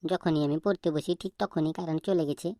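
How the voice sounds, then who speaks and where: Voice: medium pitch, fairly even intonation, fast, mellow, no room sound, clean studio sound, natural voice. A performer voicing a cheeky schoolboy in a comedy cartoon, earnestly reeling off wordy, silly answers. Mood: matter-of-fact excusing.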